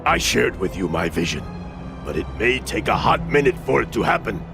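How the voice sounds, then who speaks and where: An actor playing a proud, earnest orc prince in a fantasy video game, with a declamatory manner. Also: male voice, fairly low pitch, very animated, normal speed, gravelly, over music. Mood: calm, hopeful.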